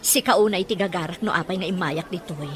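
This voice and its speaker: A woman's voice: lowish voice, fairly quick, noisy background, natural voice. A woman acting an earnest, middle-aged rural mother with a Filipino accent in an Ilocano audio drama. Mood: earnest, probing.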